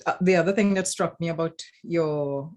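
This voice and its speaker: A woman's voice: sits low, normal speed, darkish tone. A woman with a Trinidadian accent speaking as a lecturer at an informal roundtable, explaining reflectively and often checking in with "right?". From Trinidad and Tobago. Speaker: academic writing lecturer, panel speaker.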